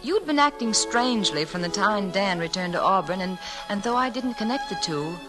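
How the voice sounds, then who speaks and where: An actress playing a gentle, earnest woman schoolteacher in theatrical, sentimental 1940s radio drama style. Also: medium pitch, lively intonation, moderate pace, over music, lightly acted, rough recording. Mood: reminiscing. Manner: tender, earnest, theatrical delivery.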